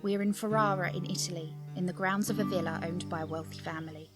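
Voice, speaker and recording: A woman's voice: on the low side, fairly even intonation, fast. A woman giving a scripted introduction as the announcer of a radio play. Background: music.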